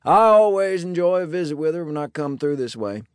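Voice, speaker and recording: Man doing a folksy Old West sheriff, pitched up. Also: brisk, smooth, dry recording, studio quality, lightly acted. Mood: friendly. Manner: folksy, hesitant, calming.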